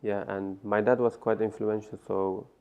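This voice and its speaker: Deep gravelly man. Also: level tone, moderate pace, a little mellow. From Afghanistan. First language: Farsi. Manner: hesitant, many fillers and restarts. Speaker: interview guest. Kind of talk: personal story interview. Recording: muffled lo-fi sound.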